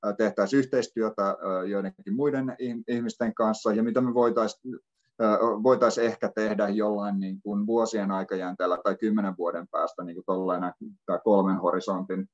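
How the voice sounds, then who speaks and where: Low-voiced man, halting, lo-fi call. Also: fairly even intonation. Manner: halting, filler-heavy. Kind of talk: casual discussion. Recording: dull lo-fi video call audio.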